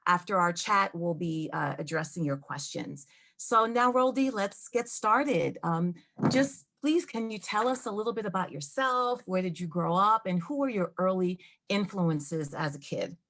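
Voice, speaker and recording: Lower-voiced woman moderating a chat. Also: unhurried, fairly bright tone. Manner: polite, scripted, with frequent fillers. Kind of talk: event introduction and interview. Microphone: video call.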